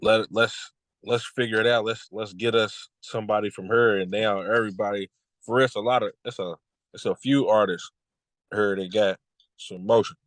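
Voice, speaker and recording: A man in his thirties from Kentucky, a music artist talking casually in an interview, relaxed and repeating his phrases. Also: fairly low pitch, normal speed, no room sound, poor audio. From Lexington, Kentucky. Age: 30s. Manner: laid-back, repeats "you know what I'm saying".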